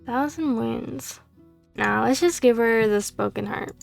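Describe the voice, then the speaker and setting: A woman's voice: a little high, unhurried, gravelly, soft background music. A woman hosting her gaming channel, chatting casually and hesitantly through a playthrough while losing her voice. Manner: hesitant, filler-heavy, self-correcting. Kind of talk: casual game playthrough commentary.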